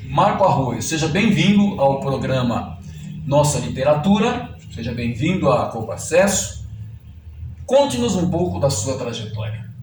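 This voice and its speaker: A man's voice: on the high side, big pitch swings, normal speed. Brazilian male TV host, roomy distant sound. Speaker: host of a literary TV interview show. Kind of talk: formal presenter introductions and interviewing. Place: indoors at a publisher's office. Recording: roomy, distant mic, faint background noise.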